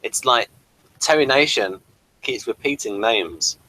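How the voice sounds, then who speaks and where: A man's voice: medium pitch, lively intonation, measured pace, bright, forceful tone, rough recording. A man hosting a casual review discussion, speaking in a hesitant, rambling and apologetic way. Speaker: host of a TV review show.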